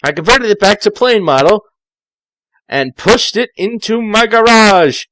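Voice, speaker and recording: A man's voice: high voice, animated, unhurried, fairly bright tone, no echo, poor audio. Sardonic man reading fan fiction aloud. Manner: sardonic, mocking asides. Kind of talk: amateur read-aloud with sardonic side comments.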